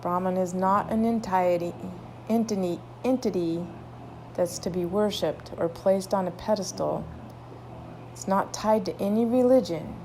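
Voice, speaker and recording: A woman leading a guided meditation, soothing, with drawn-out phrases and long pauses between them. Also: mid-range voice, darkish tone, slight background noise, low-quality recording.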